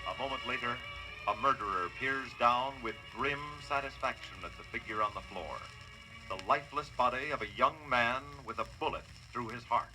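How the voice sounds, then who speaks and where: A man's voice: mid-range voice, moderate pace, poor audio. A man announcing and narrating an old-time radio crime drama in a solemn, theatrical scripted style. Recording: old radio broadcast with music.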